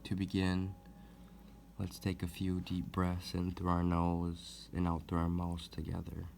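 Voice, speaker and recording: A man leading a guided meditation as host, speaking gently and deliberately with long pauses. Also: low-pitched, completely flat delivery, gravelly, slight background noise. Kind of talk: guided meditation, soothing instruction.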